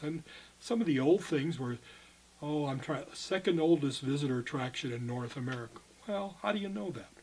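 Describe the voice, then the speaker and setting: An older man with a husky, slightly rough voice looks back on his years as a cave guide in an oral history interview. He rambles and corrects himself as he goes. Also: on the high side, darkish tone, rough recording. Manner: rambling, halting, self-correcting.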